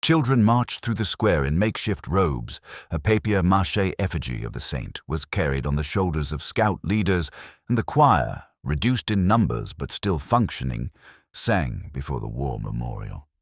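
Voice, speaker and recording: A man narrates a scripted mystery in a very deep voice. His pitch moves in an animated way from phrase to phrase, and the sound is dry, with almost no room on it. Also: moderate pace, no echo. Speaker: audiobook narrator.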